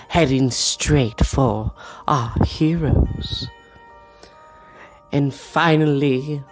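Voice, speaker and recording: A man narrating a tabletop game scene as game master, slowly and theatrically, at times dropping to a whisper. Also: mid-range voice. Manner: slow, theatrical storytelling with hushed whispers. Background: background music.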